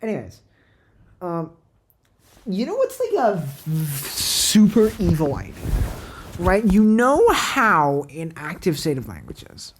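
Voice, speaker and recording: A woman's voice: fairly low pitch, wildly swooping pitch, slow, a little hiss or hum. A woman whose first language is Cantonese, thinking aloud at her computer as she plans a made-up language, rambling and questioning herself with many fillers. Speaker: language creator. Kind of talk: thinking aloud while screen-recording.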